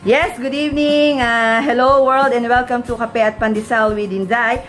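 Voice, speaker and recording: A woman introducing a show as its host, halting and pausing as she goes. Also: a little high, steady tone, a bit bright. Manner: halting, with pauses. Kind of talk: live show announcing. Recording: music clearly behind the voice. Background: music.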